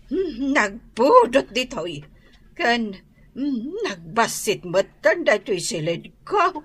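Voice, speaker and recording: An elderly, forgetful mother from an Ilocano radio drama. She speaks slowly and hesitantly in a highish, bright, querulous voice with an Ilocano Filipino accent. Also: very animated, breathy edge, full character voice. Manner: hesitant, murmuring interjections.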